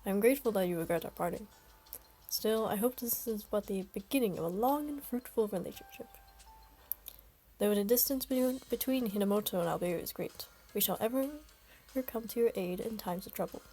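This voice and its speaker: A woman's voice: mid-range voice, brisk, dark tone, faint music behind, close to an everyday voice, rough recording. A woman reading the part of a formal, polite young man who brings good fortune. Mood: earnest. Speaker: voice reader of a game story.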